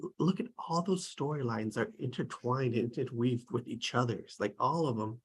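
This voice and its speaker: A man's voice: medium pitch, normal speed, slight background noise. A man talking casually about a script at a table read, rambling with many restarts.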